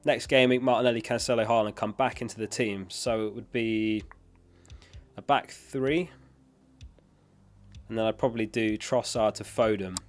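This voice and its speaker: Low-pitched man hosting a casual livestream. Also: steady tone, normal speed. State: losing his voice after being unwell. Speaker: livestream host. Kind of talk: casual live-stream chat, reading and answering viewer questions. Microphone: close dynamic studio microphone.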